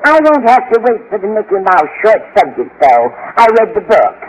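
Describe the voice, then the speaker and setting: A woman's voice: mid-range voice, very animated, moderate pace, natural voice. An actress playing a sweet, flustered older lady in a fluttery, genteel manner in a 1950s radio sitcom. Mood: scatterbrained, sweet. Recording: noisy muffled old radio recording.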